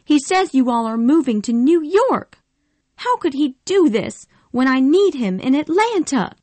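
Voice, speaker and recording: A woman voicing an impulsive, emotional young Southern woman in a storybook reading, speaking in a high pleading voice. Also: very animated, unhurried, dry recording. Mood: upset. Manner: pleading and tearful, high and bright.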